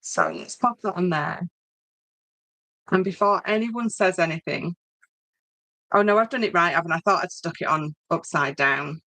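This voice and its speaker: A woman's voice: on the low side, expressive, normal speed, long pauses, sharp tone, no echo, low-quality recording. A woman with a Yorkshire accent hosting an informal craft demonstration, chatting to viewers and thinking aloud as she works. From Yorkshire, northern England. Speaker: craft tutorial host.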